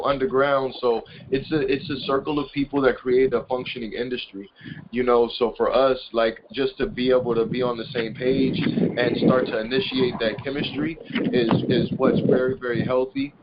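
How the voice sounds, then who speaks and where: A man hosting an informal group call, explaining things in a rambling way and often repeating his words. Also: mid-range voice. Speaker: conference call host, business and personal growth consultant. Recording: muffled conference call with loud noise, clicks.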